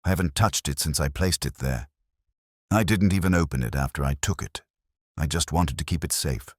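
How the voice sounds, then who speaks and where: A man voicing a nervous woman who hid a ledger, speaking low and hesitantly in a husky voice. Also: moderate pace, no room sound, studio quality.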